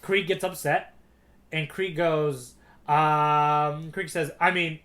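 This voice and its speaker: A man's voice: a little high, fairly even intonation, slow pace, a bit bright. A man co-hosting a podcast, talking and retelling in a casual, wry, colloquial way. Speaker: podcast co-host, recaps manga chapters.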